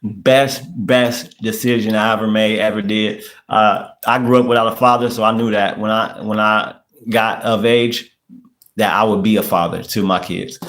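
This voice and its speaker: A man's voice: on the low side, fairly even intonation, moderate pace, a bit bright. A man in his late thirties from the US, hosting a casual livestream, chatting loosely, repeating himself and using street slang. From United States. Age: 30s. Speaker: podcast host. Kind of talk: casual livestream chat reading comments.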